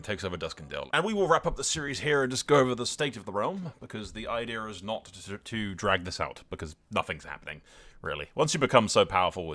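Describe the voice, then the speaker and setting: A man's voice: lowish voice, a bit bright. A man giving casual, informal commentary on a game playthrough, with wry asides. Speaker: host of a gaming channel.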